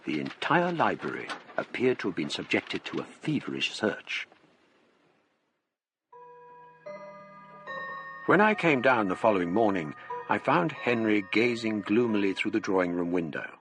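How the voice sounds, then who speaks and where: An actor playing a literary gentleman narrating an eerie tale in a radio drama, with a measured, formal manner. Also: lowish voice, big pitch swings, soft background music. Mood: suspenseful, grave. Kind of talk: scripted first-person radio drama narration.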